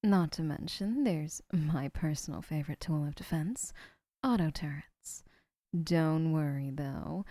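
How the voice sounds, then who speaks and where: An actress playing a gentle, obsessive young yandere woman in an audio roleplay, speaking in soft, hushed murmurs. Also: sits low, measured pace, no room sound, studio quality.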